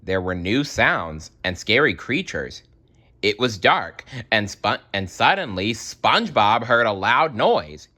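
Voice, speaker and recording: Deep, animated storyteller man. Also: slow pace. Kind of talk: expressive children's story read-aloud with wry asides.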